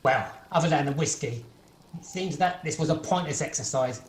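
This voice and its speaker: A man's voice: on the high side, normal speed, close to an everyday voice. A man playing an uncouth, smug, scheming thief in an amateur radio play. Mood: resigned, sly. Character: uncouth, unkempt, scheming thieving husband. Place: at home, recorded over video call. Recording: echoey room, faint noise, video call.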